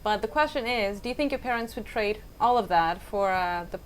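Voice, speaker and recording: A woman's voice: medium pitch, expressive, fairly quick, a little hiss or hum, rough recording. A woman journalist asking direct, probing questions in an interview.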